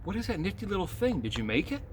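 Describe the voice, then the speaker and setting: A man's voice: high voice, big pitch swings, quick pace, voice pushed up. An eager, devoted young pig from a children's storybook, voiced by a man reading aloud. He is curious and questioning, in a lifted voice. Faint noise sits behind the outdoor home recording. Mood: curious, soft.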